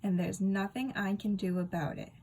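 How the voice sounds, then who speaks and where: A woman reading a children's book aloud as a storyteller, with expressive, dramatic and emphatic delivery. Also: mid-range voice, normal speed, breathy edge, rough recording.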